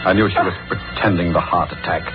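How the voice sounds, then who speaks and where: Man acting a deep-voiced, grave middle-aged judge, old radio. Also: fairly even intonation, natural voice. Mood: calculating. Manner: grave, deliberate, intense. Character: respectable middle-aged judge, lovesick, coldly murderous, narrating his confession. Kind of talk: 1940s radio drama acting and narration. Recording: muffled old radio recording with music.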